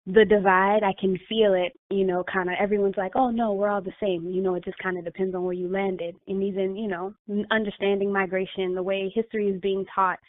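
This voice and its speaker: A woman's voice: mid-range voice, flat delivery, a little fast, few pauses. A woman guest, a life coach, talking through her thoughts in a casual interview, with many fillers and restarts. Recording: tinny phone-line audio.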